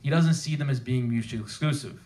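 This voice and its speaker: Guy doing a tech meetup talk, medium pitch, casual but emphatic, asks the room rhetorical questions. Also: fast, dark tone. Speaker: conference speaker. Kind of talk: technical presentation to a live audience. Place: theater at a developer meetup.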